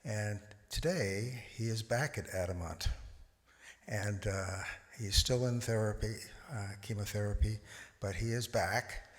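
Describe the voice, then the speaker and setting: A middle-aged man sharing at a church service, speaking hesitantly with many pauses. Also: on the low side. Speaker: congregant. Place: church sanctuary. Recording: long church reverb.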